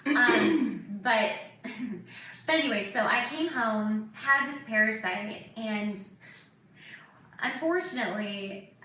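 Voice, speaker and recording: A woman in her thirties hosting a casual podcast, telling candid personal stories in an animated way and acting out what people said. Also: fairly high pitch, takes it slowly. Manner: animated, acts out quoted remarks. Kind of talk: casual chat, candid anecdotes. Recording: echoey room, distant mic, faint noise.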